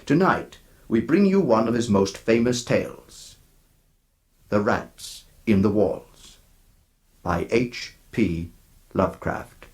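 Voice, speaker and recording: A man hosting a horror anthology with a deliberate, solemn, dramatic delivery, reading a scripted introduction in old radio style. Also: fairly low pitch, expressive. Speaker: host of a horror audio anthology.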